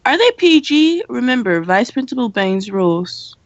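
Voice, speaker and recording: A woman's voice: a little high, sing-song, normal speed, no echo, dull audio. A woman playing a prim, rule-minded student news host in an amateur radio play. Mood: stern, exasperated. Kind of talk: amateur radio play, scripted newscast delivery.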